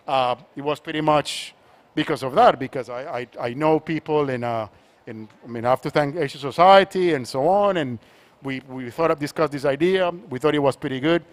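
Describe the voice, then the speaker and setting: A man from Colombia, an art collector speaking on a panel, talking in a halting way with many repeated words and restarts. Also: a little high. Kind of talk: panel conversation.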